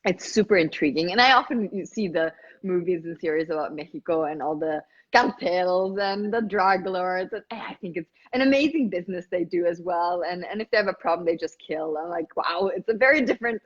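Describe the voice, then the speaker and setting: A woman from Sweden, hosting a casual chat and talking in a lively way. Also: on the low side, fairly quick, lo-fi sound. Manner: chatty, lively, often affirming with yeahs and mms. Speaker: host. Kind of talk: casual conversation.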